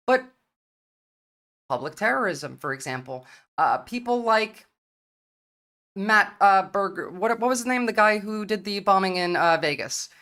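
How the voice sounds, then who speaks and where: A woman's voice: fairly low pitch, fairly bright tone. A woman giving an earnest, argumentative opening statement in an online debate, citing statistics with some hesitations. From United States.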